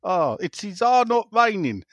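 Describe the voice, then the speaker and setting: A man's voice: high voice, sing-song, unhurried, dry recording. A man hosting a casual podcast over a call, teasing his co-hosts and talking in stop-start bursts with lots of fillers. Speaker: podcast host standing in. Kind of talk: casual chat, jokey.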